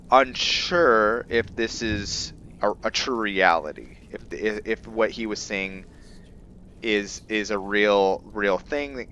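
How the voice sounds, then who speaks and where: Male let's play host, lowish voice, unhurried and halting, casual commentary over faint background noise. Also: fairly bright tone, lo-fi sound. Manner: halting, thinking aloud. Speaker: gamer hosting a roleplay let's play. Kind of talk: casual game commentary with character lore.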